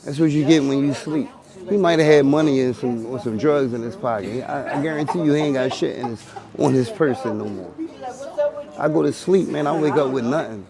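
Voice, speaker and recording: A man in his forties with a Philadelphia accent talks in street slang. His voice sits at an ordinary middle pitch, and he repeats phrases and restarts his sentences. Many voices from passersby are clearly heard around him on an outdoor city street. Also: a little fast, crowd noise. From Philadelphia, USA. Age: 40s. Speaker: interviewee. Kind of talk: street interview, casual.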